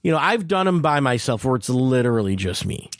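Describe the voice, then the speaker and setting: A man's voice: mid-range voice, big pitch swings, brisk, dry recording, clean studio sound. A middle-aged man hosting a podcast, talking in a rambling, explanatory way about stand-up comedy. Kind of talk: casual chat. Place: podcast studio. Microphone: studio mic.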